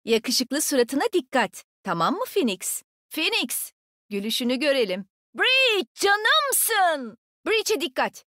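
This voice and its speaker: Young female shooter-game agent, high voice, swooping intonation, brash, sassy, cocky. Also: sing-song, slow, bright, forceful tone, dry recording, studio quality, heavily acted. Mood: playful, bossy. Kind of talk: video game combat voicelines.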